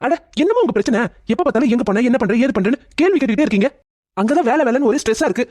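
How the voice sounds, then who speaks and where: A man's voice: extremely high pitch, animated, very fast, a little mellow, dry recording, clean studio sound. A man playing a grown son talking with his mother, acted in the manner of a drama serial. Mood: irritated.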